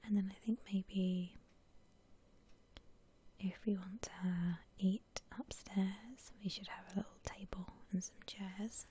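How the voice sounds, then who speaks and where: A woman whispering gently and unhurriedly in a soft, breathy ASMR style, musing aloud as she comments on a game. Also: mid-range voice, steady tone, slow pace, slight background noise. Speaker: host of an ASMR gaming channel.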